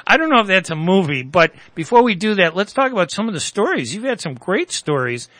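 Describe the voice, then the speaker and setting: An older man hosting a radio talk show, opinionated and quick to jump in with jokes. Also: sits high, sing-song, pushed and bright, no echo. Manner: opinionated, joking, quick to interject. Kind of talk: casual radio chat.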